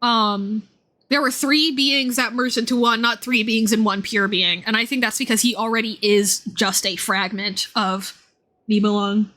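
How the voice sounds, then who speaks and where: A woman's voice: a little high, steady tone, normal speed, bright, forceful tone. A woman live streamer chatting casually with viewers about a game, rambling in an informal, slangy way. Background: music.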